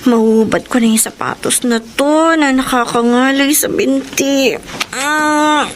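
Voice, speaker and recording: A woman playing a brisk, hardworking younger sister, blunt and sassy but caring, in a Tagalog radio drama. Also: sits high, steady tone, background noise. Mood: chatty.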